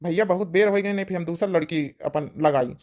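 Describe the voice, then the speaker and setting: A woman's voice: lowish voice, fairly quick, a little mellow, natural voice. A woman with an Awadhi accent voicing a frustrated, dutiful grown son with an earnest, complaining manner in a cartoon story. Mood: troubled. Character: frustrated, dutiful adult son waiting to marry. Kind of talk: amateur cartoon story dialogue.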